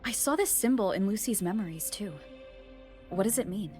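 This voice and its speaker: Calm, inquisitive young heroine voiced by a woman. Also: mid-range voice, normal speed, soft background music, close to an everyday voice. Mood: calm, curious. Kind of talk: animated game character dialogue.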